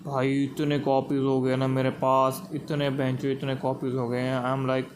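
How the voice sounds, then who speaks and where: Very fast-talking Indian man streaming. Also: medium pitch, completely flat delivery, a little hiss or hum. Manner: informal, mixes languages, swears. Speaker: game streamer. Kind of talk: casual live gameplay commentary.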